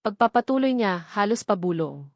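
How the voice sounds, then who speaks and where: A woman reading a scripted story in an emotive, deliberate, dramatic narrating style. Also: mid-range voice, animated, no echo, studio quality.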